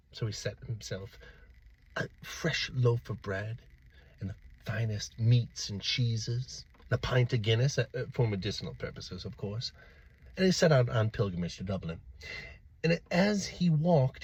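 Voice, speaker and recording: Animated husky male storyteller. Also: mid-range voice, highs cut off. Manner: theatrical, dramatic pauses and swells. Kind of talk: animated oral folktale storytelling.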